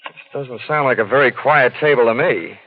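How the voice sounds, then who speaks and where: An actor playing a wisecracking hard-boiled American detective in a 1940s radio drama, with a wry, flippant, deadpan delivery. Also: male voice, medium pitch, normal speed, dry recording, close to an everyday voice. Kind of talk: 1940s radio detective acting with first-person narration. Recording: muffled 1940s radio recording, faint music.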